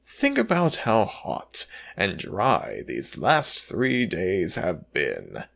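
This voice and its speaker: A man playing a cruel, gloating slave master in an ASMR audio drama, taunting and condescending with drawn-out, emphatic phrasing. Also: on the low side, vocal fry, fairly bright tone, full character voice. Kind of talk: ASMR audio roleplay, theatrical villain monologue.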